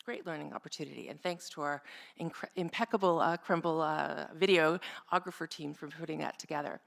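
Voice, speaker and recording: Slightly hoarse woman giving a chatty tribute. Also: deep voice, steady tone, moderate pace. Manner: chatty, with frequent fillers and asides. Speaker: speaker giving a tribute at an academic event. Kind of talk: informal presentation speech with slides.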